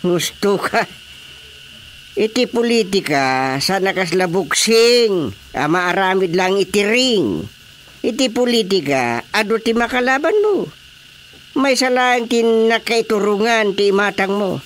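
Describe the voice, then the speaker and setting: A woman acts as an opinionated Filipino grumbler in a radio drama. The speech is slow and emphatic, with musing hums and big swings in pitch. Light ambient noise sits behind. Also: expressive. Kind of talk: Ilocano radio drama dialogue.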